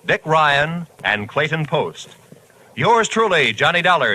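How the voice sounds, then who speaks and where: A man's voice: fairly high pitch, pushed and bright, a little hiss or hum, low-quality recording. An American man announcing radio credits in a formal, polished 1950s broadcast style. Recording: old radio broadcast, faint music behind.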